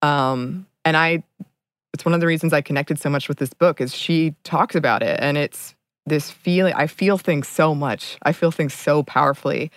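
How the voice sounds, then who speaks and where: A woman's voice: low voice, normal speed, no room sound. Earnest young woman, dry close sound. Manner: earnest, laughs often, trails into fillers. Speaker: podcast co-host. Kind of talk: reflective casual chat.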